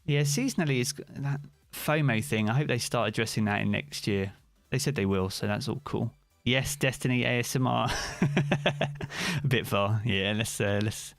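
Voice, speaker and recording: A man's voice: mid-range voice, normal speed, vocal fry, dry recording, faint music behind. A British man streaming live, chatting casually and answering viewers' comments by name.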